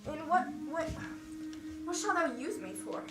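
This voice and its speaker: A woman's voice: sits high, a little slow, over loud music. A young woman on stage playing an anxious, distrustful character with urgent, dramatic, mock-archaic speech. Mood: bitter, distrustful. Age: young adult. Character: anxious, jealous first mate and bitter, distrustful siren's voice. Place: school theater stage, recorded from far off. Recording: echoey school stage, distant microphone.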